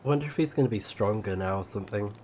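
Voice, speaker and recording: A man's voice: lowish voice, racing, darkish tone, slight background noise. A man livestreaming a video game, giving casual, easygoing commentary in short reactive exclamations.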